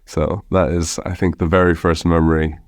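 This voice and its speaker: A man's voice: deep voice, level tone, normal speed, no room sound, studio quality. A young man from Hong Kong with a slight American accent, talking conversationally as a podcast guest with many restarts. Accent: slight American international-school accent. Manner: conversational, with frequent restarts and fillers. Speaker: startup founder guest. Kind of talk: podcast interview.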